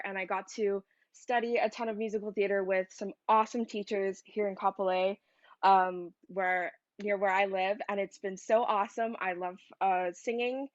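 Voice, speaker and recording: A woman's voice: mid-range voice, no echo. A seventeen-year-old young woman from Hawaii with an American accent, talking casually and earnestly as a student. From Hawaii, United States. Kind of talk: casual self-introduction. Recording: dull, dry call audio.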